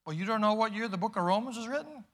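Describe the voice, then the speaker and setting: A man preaching a sermon as pastor, moving from loud emphatic calls to quiet, hushed asides. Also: high voice, expressive, rapid-fire, a little hiss or hum. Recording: long reverb of a large hall.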